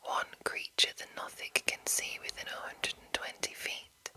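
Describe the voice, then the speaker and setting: A woman whispering as an ASMR host, reading and commenting in a hushed, lingering manner with drawn-out phrases. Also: poor audio.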